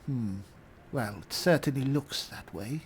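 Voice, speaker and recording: A man playing a haughty, wry high elf spellsword in a fantasy game roleplay, speaking deliberately with musing pauses. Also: lively intonation, a little hiss or hum, natural voice. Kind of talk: roleplay acting over a fantasy video game.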